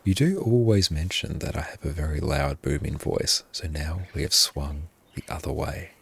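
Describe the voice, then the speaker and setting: A man livestreaming his gameplay in a hushed, half-whispered voice, often thanking his viewers. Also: rumbling low, moderate pace, gravelly, husky.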